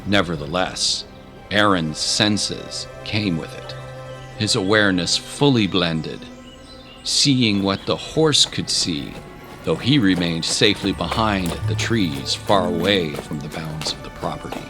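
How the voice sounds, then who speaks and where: A man reading scripted dramatic narration of a fantasy adventure in a measured, deliberate way with pauses for effect. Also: sits low, expressive, over music. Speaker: storyteller, narrator.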